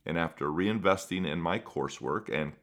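A middle-aged man whose first language is Spanish, hosting a podcast as a former school principal and speaking in an earnest, measured way. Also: deep voice, fairly bright tone. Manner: earnest, measured, builds lists for emphasis. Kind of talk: reflective podcast monologue.

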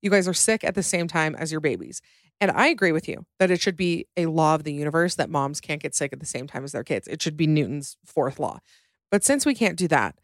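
Woman, podcast host, chatty, wry, joking, slightly low voice, dry close mic. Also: dry recording. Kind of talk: casual chat reacting to voicemails.

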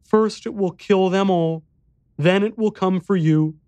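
A man voicing a solemn, frightened little boy in an audiobook, speaking earnestly and gravely. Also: sits high, unhurried, darkish tone, no room sound, pitched above the performer's own voice. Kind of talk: audiobook character dialogue.

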